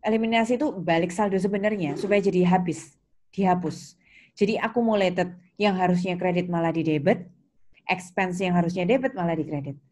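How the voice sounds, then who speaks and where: A woman's voice: on the low side, fairly quick, darkish tone, slight background noise. A woman with an Indonesian accent lecturing step by step in a didactic way, posing questions and answering them herself, with English technical terms mixed in. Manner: mixes English technical terms into her speech. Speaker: accounting lecturer. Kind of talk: online lecture explaining step by step.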